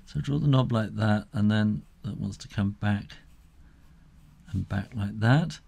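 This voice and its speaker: A middle-aged man giving a casual step-by-step drawing tutorial, unhurried and thinking aloud as he works. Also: on the low side, gravelly, a little mellow, breathy edge.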